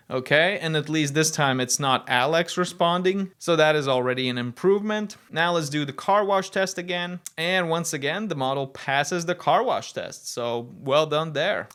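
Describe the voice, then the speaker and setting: A man's voice: on the high side, a bit bright, clear, resonant tone. A man from Latvia, whose first language is Latvian, narrating a casual tech demo with enthusiastic, amused step-by-step commentary. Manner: enthusiastic, amused, walks through tests step by step. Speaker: tech video host.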